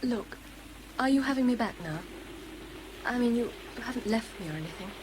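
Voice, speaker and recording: A woman's voice: on the high side, animated, fairly quick, noisy background, natural voice. An English actress playing a devoted, anxious and self-deprecating girlfriend in a radio drama. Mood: contrite, tired.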